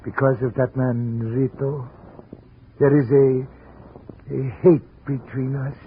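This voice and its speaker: An actor playing an anxious older immigrant gallery owner, speaking in halting, earnest phrases in a radio drama. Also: male voice, medium pitch, little pitch movement, lightly acted. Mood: anxious, pleading. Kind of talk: 1950s radio drama acting. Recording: muffled, noisy old radio recording.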